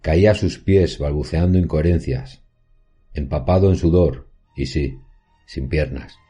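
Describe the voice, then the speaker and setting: Man acting very deep, dark-voiced haunted professor. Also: breathy edge, exaggerated voice. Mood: sombre recollection. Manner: measured, literary, solemn storytelling. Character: haunted male professor recounting a horror tale. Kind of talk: scripted horror narration. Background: music.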